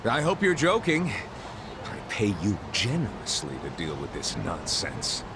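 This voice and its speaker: A showy, carefree businessman who brushes off his work, his lively pitch swooping through airy boasts. Clear street noise runs behind him outdoors. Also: measured pace, full character voice. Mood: breezy. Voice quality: breezy, self-satisfied. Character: flamboyant carefree male businessman on the phone. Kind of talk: video game cutscene dub.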